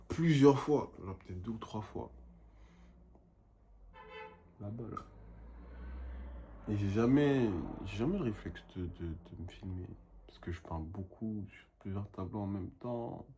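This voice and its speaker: A man's voice: fairly low pitch, a little slow, long pauses, mellow, a little hiss or hum. A young man and painter talking in a casual vlog monologue, rambling and self-motivating, dropping English phrases now and then.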